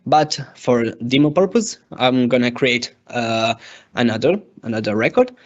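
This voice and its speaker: A man narrating a step-by-step software demo as a tutorial presenter, with a hesitant, halting delivery. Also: medium pitch, expressive. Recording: dull, lo-fi microphone sound.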